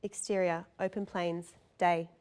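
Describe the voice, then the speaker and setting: A woman's voice: lowish voice, level tone, unhurried, darkish tone, smooth. A woman narrator reading scene descriptions and stage directions from a script.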